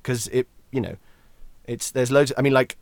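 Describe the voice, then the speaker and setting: A man's voice: mid-range voice, steady tone, moderate pace, dry recording. A man chatting as a podcast guest, rambling and jokey, often interrupting himself as he gives anecdotes and advice. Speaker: guest, voice actor and voiceover tutor.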